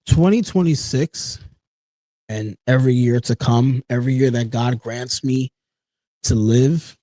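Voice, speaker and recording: A man's voice: mid-range voice, dark tone, no echo. A man in his late thirties hosting a solo podcast, talking in an earnest, personal way. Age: 30s. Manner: earnest, personal, with frequent "you know" and "folks". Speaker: podcast host. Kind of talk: reflective solo podcast talk.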